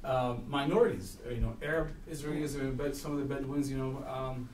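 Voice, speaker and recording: A man from Palestine speaking up at a public talk, earnest and halting with many restarts. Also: medium pitch, fairly even intonation, a little mellow. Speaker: audience member speaking at a talk. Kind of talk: public Q&A comment. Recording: echoey room, distant mic, noisy.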